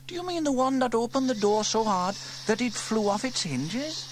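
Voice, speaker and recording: A man's voice: very high-pitched, animated, normal speed, noisy background, voice pushed up. A man voicing a doubtful, teasing young girl in a storybook reading. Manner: skeptical, teasing.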